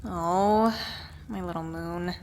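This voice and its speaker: A woman's voice: fairly low pitch, steady tone, slow, a little mellow. A woman playing a worried, affectionate witch who tenderly scolds a beloved partner in an ASMR roleplay audio drama. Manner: playfully exasperated, tender scolding with sighs. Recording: clear background ambient noise.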